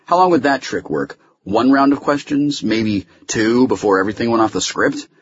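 A man voicing a defiant, quick-witted man arguing his case in an audiobook, insistent, articulate and wry. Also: lowish voice, husky, no room sound, highs cut off, pitched above the performer's own voice.

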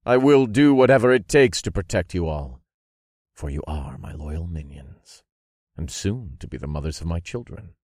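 Man playing satanic dark lord, very low voice, grandiose, commanding, fantasy audiobook, dry close sound. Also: normal speed, breathy edge, no echo, full character voice. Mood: magnanimous.

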